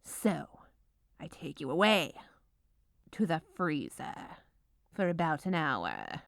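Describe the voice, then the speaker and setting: Female ASMR performer, gleefully evil baker character, drawn-out theatrical delivery, close dry sound. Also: deep voice, wildly swooping pitch, gravelly, dry recording. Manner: theatrical, menacing, gleeful villainous delivery of mundane baking steps. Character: gleefully menacing baker, melodramatic and secretly evil woman. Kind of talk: scripted ASMR comedic narration.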